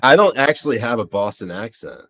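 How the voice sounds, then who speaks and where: A man from Hartford, Connecticut, chatting as a guest on a talk show. He is relaxed, self-mocking and laughs easily. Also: mid-range voice, a little fast. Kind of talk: casual talk show chat.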